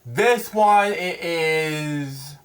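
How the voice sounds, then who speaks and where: A man hosting a storybook read-aloud, halting and stumbling over words, drifting into rambling casual asides. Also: on the high side.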